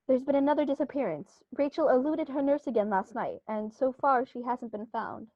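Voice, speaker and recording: A woman playing a flustered upper-class gentleman in a radio play, fussy and easily rattled. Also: sits high, a little fast, no echo, highs cut off. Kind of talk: 1930s radio play acting.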